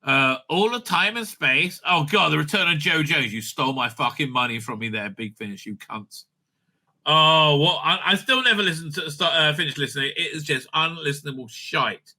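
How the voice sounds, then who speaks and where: A man's voice: a little high, expressive, moderate pace, pushed and bright. A man hosting a casual, off-the-cuff review commentary, blunt, opinionated and sweary, rambling and repeating words as he goes. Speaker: host reviewing audio drama releases.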